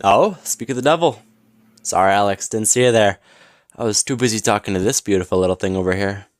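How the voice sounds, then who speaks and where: A man playing a smug, flirtatious businessman in an audio roleplay, teasing and smooth-talking with mock politeness. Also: lowish voice, brisk, no echo, studio quality, close to an everyday voice. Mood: flirtatious, playful. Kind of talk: scripted audio roleplay acting.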